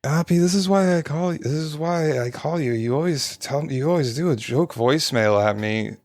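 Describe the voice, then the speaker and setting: A man voicing a drunk, excitable male media personality who gushes and pleads in a high, whiny voice during an improvised tabletop roleplay. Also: normal speed, no echo, close to an everyday voice. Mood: gushing. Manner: pleading, gushing, high-pitched.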